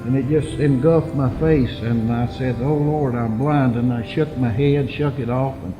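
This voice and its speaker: Male veteran in his eighties or older, mid-pitched, personal recollection with a clear music bed. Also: fairly even intonation, moderate pace, low-quality recording. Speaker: war veteran.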